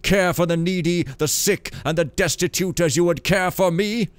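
A man voicing a mighty, solemn sun god in a storybook tale, booming and proclaiming like a preacher. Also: moderate pace, clean tone, dry recording, natural voice. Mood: stern.

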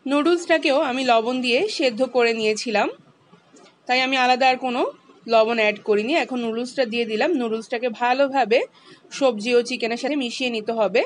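A woman, calmly walking through a home cooking recipe step by step as the channel's host. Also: fairly high pitch, a little fast. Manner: calm, instructive, explains each step.

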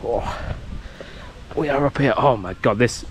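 A man at a middling pitch gives a nervously excited walking commentary as he explores an abandoned fort. His talk is chatty and full of exclamations. It is recorded outdoors on a handheld camera mic, with clear background noise and handling clicks. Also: a little slow. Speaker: travel vlogger. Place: outdoors at an abandoned coastal military base in the heat.

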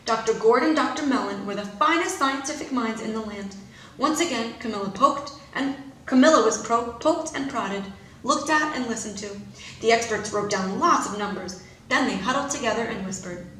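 Female preschool teacher, expressive and deliberate story reader, fairly high voice, recorded in a very reverberant room. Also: slight background noise. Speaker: pre-K teacher. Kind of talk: storybook read-aloud for young children. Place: indoor classroom. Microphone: camera a few feet away. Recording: very echoey room.

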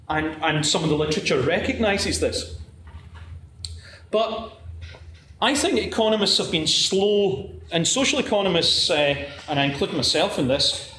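A man's voice: a little high, fairly bright tone. Glasgow man giving an academic talk. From Scotland. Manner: measured, frequent uh fillers and restarts. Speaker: conference presenter. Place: lecture room at a podium, standing. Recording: echoey lecture hall, faint room hiss.

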